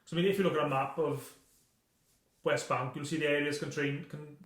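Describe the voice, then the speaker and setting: A man from Swansea with a Welsh accent, a documentary maker telling stories as a podcast guest in a quick, lively way. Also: fairly high pitch. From Wales. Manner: rapid, lively storytelling with restarts. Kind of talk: casual chat. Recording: echoey room.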